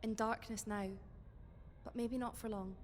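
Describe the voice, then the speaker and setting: A woman's voice: fairly high pitch, steady tone, a little slow, darkish tone, big-room sound, a little hiss or hum, close to an everyday voice. An actress playing a brave, steadfast woman of the resistance in an audio drama, earnest and reassuring. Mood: gentle, reassuring. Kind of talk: fiction podcast audio drama acting.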